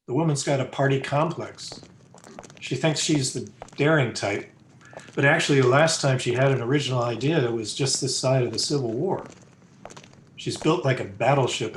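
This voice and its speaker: A man's voice: medium pitch, natural voice. Man playing a wisecracking engaged young guy, sardonic, slangy, old-time radio drama, echoey room, faint noise. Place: indoor room, recorded over a video call. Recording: roomy echo, noisy home video-call recording.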